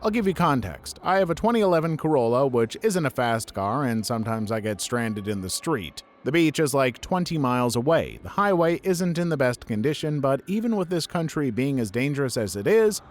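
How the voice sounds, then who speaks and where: A man reading online stories aloud as a narrator, at a steady, even pace. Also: mid-range voice, a little fast, hardly any pauses. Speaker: story narrator.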